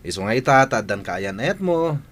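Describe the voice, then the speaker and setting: A man's voice: mid-range voice, normal speed, a little hiss or hum. A man acting a jovial, teasing male friend who gives advice in an audio drama, with an Ilocano accent. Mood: teasing, advising. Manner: teasing, animated.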